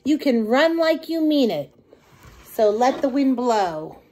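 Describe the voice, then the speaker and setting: Animated, high-pitched woman reading a picture book. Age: middle-aged. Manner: slow, deliberate, sing-song delivery for young children. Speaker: children's storytime reader.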